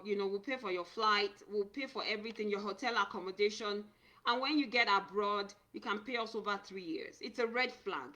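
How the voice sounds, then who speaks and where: Bright-voiced woman giving earnest advice. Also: on the high side, poor audio. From Nigeria. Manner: earnest, repeats and restarts words, reads viewer comments aloud. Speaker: immigration consultant. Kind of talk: live-stream talk answering viewers.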